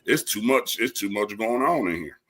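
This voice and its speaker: A man's voice: on the low side, expressive, normal speed, a bit bright. A man talking casually as a panel guest, with a colloquial, encouraging manner. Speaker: cast member, panel guest. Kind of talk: casual chat.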